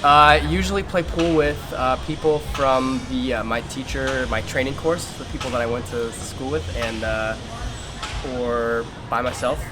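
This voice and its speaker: A man's voice: mid-range voice, steady tone, normal speed. A young man answering interview questions in an easygoing, casual way, laughing now and then. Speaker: interviewee, new English teacher. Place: outdoors in a city. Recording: background music throughout.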